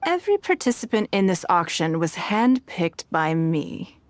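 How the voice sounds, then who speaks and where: A woman's voice: on the low side, sing-song, moderate pace, no room sound. An actress playing a poised, wealthy, shrewd woman in a video game, with a composed, knowing and formal manner.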